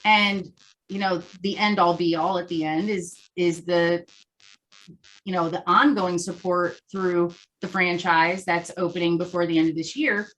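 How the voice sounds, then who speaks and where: A woman with a fairly low voice speaks as an interview guest. She explains her company's approach in a conversational, earnest way, often framing points as questions. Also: fairly even intonation, measured pace, fairly bright tone, rough recording.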